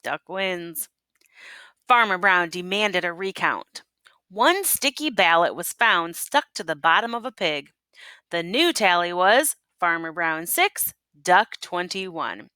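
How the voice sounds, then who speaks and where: A woman reading a children's picture book aloud in an expressive, teacherly way, pausing to prompt listeners with questions. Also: on the low side, no room sound, clean studio sound. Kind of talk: expressive read-aloud storytelling with asides and questions.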